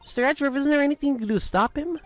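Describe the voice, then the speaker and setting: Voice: sits high, very fast, soft background music, pitched above the performer's own voice, natural voice. A performer voicing an eager young female sidekick in a fan dub of a game. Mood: pleading.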